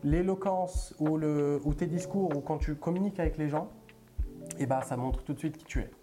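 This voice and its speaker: A man's voice: a little high, normal speed, over music. A man in his thirties, a native Italian speaker, co-hosting a podcast, chatting casually with many fillers and restarts. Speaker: podcast co-host. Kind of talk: casual chat.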